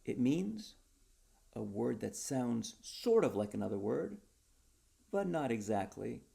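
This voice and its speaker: A man's voice: mid-range voice, very animated, slow, creaky, warm tone, husky, full character voice. A man voicing a cocky, sly inventor who sells his wares in a story, speaking proudly and theatrically. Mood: sly bargaining. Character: cocky, sly male word inventor and seller.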